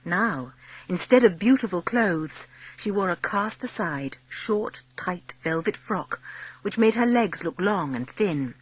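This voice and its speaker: Woman, audiobook narrator, medium pitch, slightly husky, dry studio-like sound, scripted storytelling. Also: animated, slow, dry recording, rough recording.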